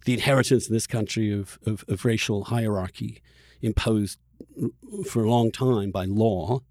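A man hosting a conversational podcast, speaking emphatically and haltingly, often repeating words as he builds a point. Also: lowish voice, fairly even intonation, a little mellow, no echo, clean studio sound. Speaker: podcast host, journalist.

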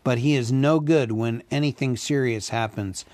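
A man's voice: mid-range voice, normal speed, darkish tone, no room sound, natural voice. A man voicing fervent, discontented townspeople crying out in a storybook reading. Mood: grumbling. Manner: crying out, raised voice. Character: angry, fervent crowd of lake townspeople.

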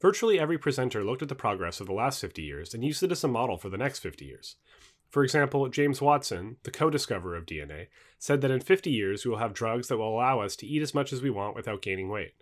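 Fast, animated man reading nonfiction aloud. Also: mid-range voice. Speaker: reader of a book aloud. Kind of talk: scripted narration, reading a nonfiction book.

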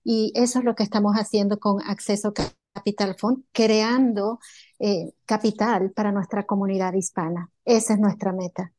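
Earnest woman with a mellow voice. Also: medium pitch, steady tone, moderate pace. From Bolivia. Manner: earnest, many filler sounds. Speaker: entrepreneur guest. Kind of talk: interview answers.

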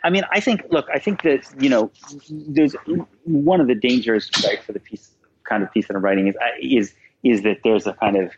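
A man who writes, answering as a podcast guest in a thoughtful, measured way, qualifying his points as he goes. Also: medium pitch, muffled recording, background noise. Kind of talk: interview answers.

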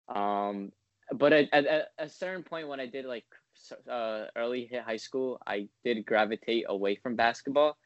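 A man's voice: medium pitch, no room sound, lo-fi sound. A man in his twenties, a guest in a casual interview, talking in a rambling way full of ums and likes. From United States. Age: 20s.

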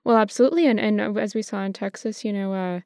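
American woman podcast host, really clean smooth voice, dry sound, explains stuff. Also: medium pitch, quick pace, warm tone, no room sound. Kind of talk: conversational explanation.

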